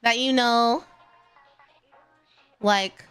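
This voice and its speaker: A woman's voice: a little high, steady tone, extremely slow, a bit bright, smooth, faint music behind. A young adult woman streamer, chatting casually with her live chat, encouraging and laughing often, a little stuffy and congested. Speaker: VTuber streamer. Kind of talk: casual livestream chat, reading chat.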